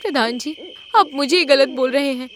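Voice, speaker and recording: Woman acting indignant wronged village wife, high-pitched. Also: moderate pace, fairly bright tone. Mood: aggrieved, protesting. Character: protesting young village wives, wronged and indignant.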